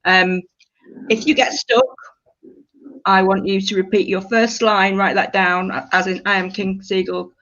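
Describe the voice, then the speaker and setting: A woman's voice: mid-range voice, level tone, a little slow, a bit bright, dull audio. A woman leading a writing workshop, giving informal, encouraging instructions and repeating them as she goes. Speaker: creative writing workshop leader.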